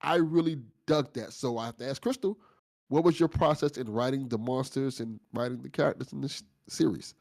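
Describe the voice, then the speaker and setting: A man's voice: medium pitch, brisk, gravelly, darkish tone. A man hosting a casual after-show discussion, effusive and informal in his praise. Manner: effusive, informal, lightly sweary. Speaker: after-show host of a fiction podcast.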